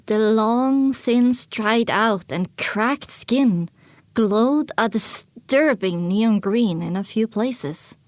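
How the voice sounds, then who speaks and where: A woman's voice: on the high side, animated, very slow, dry recording. A woman narrating an audio drama in the first person, with a dramatic scripted delivery.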